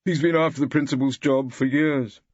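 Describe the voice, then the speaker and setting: A man voicing an excitable, spiteful, gossipy male academic with a squawking voice, in an audiobook. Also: mid-range voice, normal speed, no room sound, highs cut off, pitched above the performer's own voice, lightly acted. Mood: excited gossip. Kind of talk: audiobook character acting.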